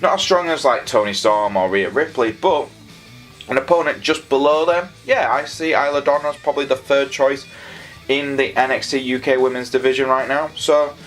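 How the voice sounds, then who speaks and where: This is a man with a Yorkshire accent and a mid-pitched voice. He talks casually and keenly, giving his opinions as a reviewer. Some music plays faintly behind him. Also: normal speed, fairly bright tone. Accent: Yorkshire English. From Leeds, England. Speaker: wrestling show reviewer. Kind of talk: casual scripted-free review commentary.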